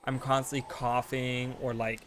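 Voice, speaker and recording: A man's voice: medium pitch, flat delivery, normal speed, fairly bright tone, slight background noise. A man in his late twenties chatting casually while streaming a game, rambling and thinking aloud, full of "like" and "you know". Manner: thinking aloud, self-correcting. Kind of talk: casual chat while gaming.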